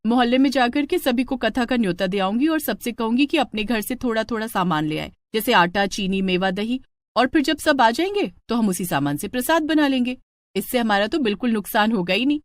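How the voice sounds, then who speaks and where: A woman voicing a young, spirited new daughter-in-law in a cartoon story, expressive, exasperated and insistent. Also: a little high, no echo, studio quality, close to an everyday voice.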